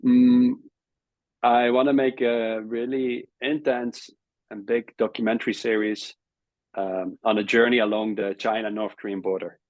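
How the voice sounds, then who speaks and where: Dutch man, lowish voice, dry close sound, halting interview guest. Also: little pitch movement, a little mellow, no room sound, dull audio. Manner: hesitant, repeats words while searching for phrasing. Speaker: guest, travel video creator. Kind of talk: casual interview talk.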